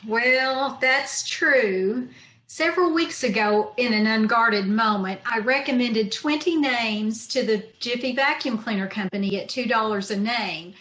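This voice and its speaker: Wry bossy younger lady, played by an amateur actress, slowish, a bit high, roomy echo, radio comedy. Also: fairly bright tone. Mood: wry, firm. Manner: dry, deliberate, stammering when flustered. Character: wry, bossy younger woman, easily smitten. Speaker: amateur radio troupe actor. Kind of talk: old time radio comedy acting. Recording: roomy echo on video call.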